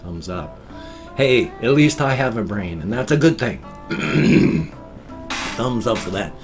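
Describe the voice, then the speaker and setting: A man's voice: mid-range voice, expressive, slow pace, faint music behind. An older man, hosting a rambling, chatty morning vlog from his home desk, sounding nasal and congested with a slight wheeze. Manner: folksy, chatty, meandering. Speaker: vlog host. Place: small home room at a desk.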